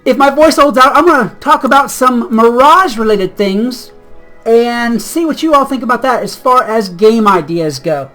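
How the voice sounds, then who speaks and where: A woman gaming host with a slightly hoarse, scratchy voice that still sounds bright and forceful. She chats casually and rambles, drifting off onto side notes. Faint music plays underneath. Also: fairly high pitch, moderate pace. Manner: chatty, rambling, easily sidetracked. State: slightly hoarse, getting sick. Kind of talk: casual gaming vlog chat.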